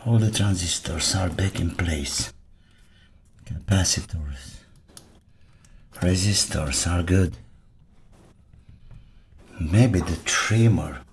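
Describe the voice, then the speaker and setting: A man with a very low voice talks himself through an electronics repair. He speaks slowly, in short muttered fragments with pauses between them. The recording has noticeable noise and small clicks behind him. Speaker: electronics repair video maker. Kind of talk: thinking aloud while repairing electronics.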